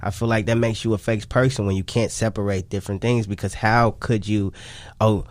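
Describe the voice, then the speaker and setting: A man's voice: on the low side, fairly even intonation, fairly quick, slight background noise. A man talking casually as a guest in a radio interview, rambling and repeating himself with slang tags. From Chicago, United States. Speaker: music artist and songwriter, interview guest.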